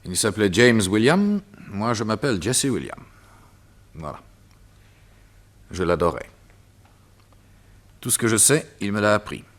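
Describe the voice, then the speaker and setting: A smooth, menacing doctor bent on revenge, speaking with a very deep, low voice. He taunts his captive in a calm, cruel way, as in an old radio play. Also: unhurried, long pauses. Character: cold vengeful doctor villain, mocking. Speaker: radio drama actor. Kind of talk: 1960s French radio drama acting.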